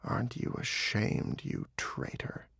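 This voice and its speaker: A man voicing a weak, wounded and embittered fox in a storybook reading, soft, breathy and restrained. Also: slow pace, dry recording, studio quality. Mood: bitter contempt. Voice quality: soft, hissing, breathy half-whisper.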